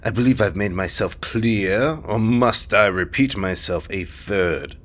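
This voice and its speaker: A pompous, low-voiced fantasy king. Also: a little slow, fairly bright tone. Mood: stern, dismissive. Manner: pompous, formal, condescending. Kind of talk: fantasy audio drama acting.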